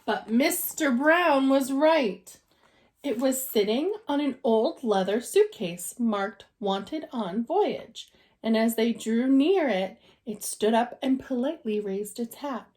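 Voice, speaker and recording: A woman reads a children's storybook aloud like a teacher. Her voice sits a little high and moves with very animated intonation, giving the narration an expressive shape. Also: slow pace.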